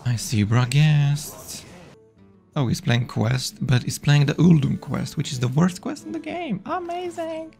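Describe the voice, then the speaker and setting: A man's voice: on the high side, very animated, a little slow, creaky, darkish tone, faint music behind. A man streaming a digital card game live, playfully chatting with his opponents and viewers.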